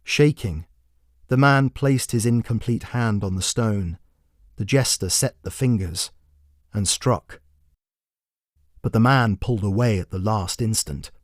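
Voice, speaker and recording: Guy reading a dark fantasy story, low voice, really slow and measured, dry close mic. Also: no echo. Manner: measured, deliberate phrasing. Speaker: author and narrator. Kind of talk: dramatic scripted fantasy narration.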